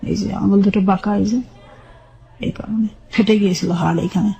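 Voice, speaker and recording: A woman's voice: medium pitch, steady tone, moderate pace, creaky, dark tone. A middle-aged woman with a Bangladeshi accent plainly and sombrely recounting her life step by step in a radio interview. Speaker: guest telling her life story. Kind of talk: personal testimony in a radio interview.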